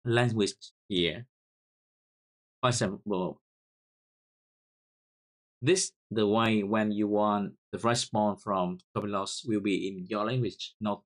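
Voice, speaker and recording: A man with a Vietnamese accent, whose first language is Vietnamese, hosts a screen-recorded coding tutorial, talking haltingly and repeating words while he types commands. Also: on the low side. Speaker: software tutorial host.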